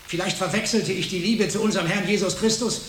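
A desperate accused monk played by a man speaks high and anguished in an echoing room. He is a cornered heretic, pleading as he confesses in a medieval radio play. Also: fairly even intonation, fast talker, close to an everyday voice. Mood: desperate confession. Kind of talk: German radio drama acting. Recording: echoing hall, faint background noise.

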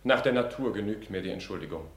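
An actor playing a proud, doomed young duellist in a radio tragedy, intense and declamatory. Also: male voice, lowish voice, expressive, fast, a bit bright. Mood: terse, combative. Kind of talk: classic radio play acting of stage tragedy. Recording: roomy studio echo with faint ambient noise.

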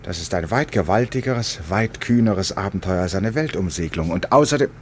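Radio drama, eccentric know-it-all gentleman: lowish voice, theatrical, rhetorical and utterly sure of himself, slightly noisy recording. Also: animated, fairly quick. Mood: declaiming. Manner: declamatory, emphatic, rhetorical. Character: eccentric, opinionated Englishman, theatrical and stubbornly certain. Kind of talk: German radio play acting.

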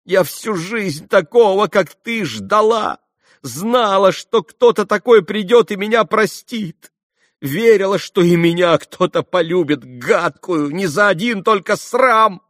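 A man reading a story as a passionate, wounded and spiteful young woman given to shrill, impulsive outbursts. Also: expressive, a little slow, no echo, studio quality, close to an everyday voice. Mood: tearful adoration. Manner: shrill exclamations, impulsive swings between rage and anguish.

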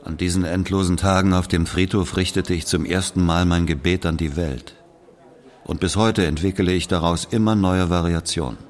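An actor playing a brooding, guilt-ridden Algerian man who recalls his past in a grave, measured literary monologue. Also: low-pitched, fairly even intonation, close to an everyday voice. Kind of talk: literary monologue, scripted narration. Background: plucked guitar music.

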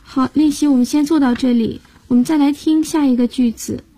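A woman's voice: sits high, fairly even intonation, moderate pace, dark tone. A woman hosting a radio language lesson, patiently explaining grammar and guiding listeners step by step. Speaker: language teacher and host.

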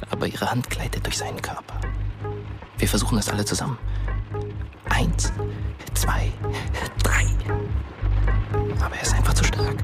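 An actor playing the ghost of a dead teenage boy, narrating a dark radio play in a hushed, measured way. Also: lowish voice, creaky. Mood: brooding, intense. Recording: loud music under the voice.

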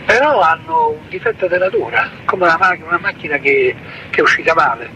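A middle-aged man with a Roman Italian accent answering questions informally, halting and repeating his words. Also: a little high, animated. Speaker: father being questioned. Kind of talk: informal recorded interview. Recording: loud traffic-like ambient noise.

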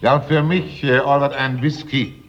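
A man's voice: medium pitch, level tone, moderate pace, lightly acted. An older man acting in a German radio drama as a stubborn, jovial, hard-drinking rich old uncle with a blustery, teasing manner. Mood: blustery, genial. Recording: dull, noisy radio recording.

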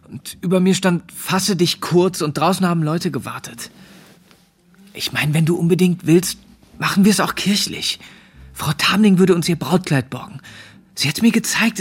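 An actor playing an earnest seventeen-year-old farmhand and hopeful suitor in a naturalistic radio play, plain-spoken and coaxing. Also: male voice, on the high side, slightly rough. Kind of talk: naturalistic radio play acting with first-person narration.

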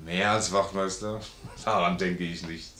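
Casting: hearty, stubborn old-comrade sergeant, lowish voice, blunt and earnest, vintage radio drama in echoey studio. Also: male voice, steady tone, measured pace, bright, forceful tone. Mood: earnest, insistent. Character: loyal, plain-spoken, stubbornly generous sergeant, an old comrade. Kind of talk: 1950s German radio play acting.

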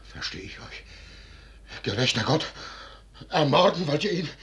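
An actor playing a noble-minded, anguished officer in a classical verse radio drama, solemn and imploring. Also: male voice, on the high side, very animated, a little slow, a bit bright, breathy edge, full character voice. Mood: subdued, troubled.

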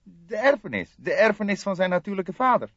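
A man's voice: sits high, very animated, brisk, fairly bright tone, no room sound, pitched above the performer's own voice, exaggerated voice. A man voicing a puzzled, testy younger detective who argues insistently in an audiobook. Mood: hesitant. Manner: insistent, irritated, questioning. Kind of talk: audiobook character voice. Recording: dull lo-fi audiobook recording.

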